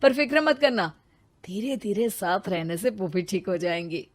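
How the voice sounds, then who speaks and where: A woman voicing a gentle, reasonable young husband who soothes and pleads in an animated story. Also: medium pitch, moderate pace, voice pushed down, close to an everyday voice. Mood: soothing.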